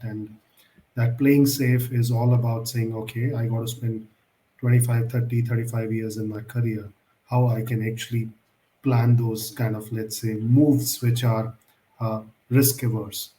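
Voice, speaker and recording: A man's voice: medium pitch, fairly even intonation, a little slow, mellow, reverberant, poor audio. A male interview host who asks questions in a formal, elaborate way, often hedging with "I would say.".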